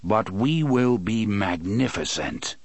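A man voicing a weary, stern gunslinger who speaks calmly and steadily in a lowered voice. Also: no room sound, full character voice. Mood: calm, solemn. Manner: calm, low, measured. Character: grim, weary older male gunslinger, calm and stern.

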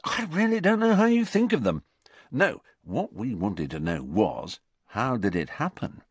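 A man's voice: medium pitch, sing-song, unhurried, breathy edge, no echo, pitched above the performer's own voice. A man playing a mocking, mischievous older-teen twin brother in a storybook, teasing in a mock-grand drawl.

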